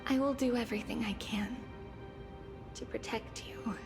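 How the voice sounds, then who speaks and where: A woman voicing a grown, weary and protective prophetess in a fantasy game, speaking in a hushed, deliberate way. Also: on the high side, steady tone, lightly acted. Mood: hushed, tender. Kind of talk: dramatic fantasy game dialogue. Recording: game music behind the voice.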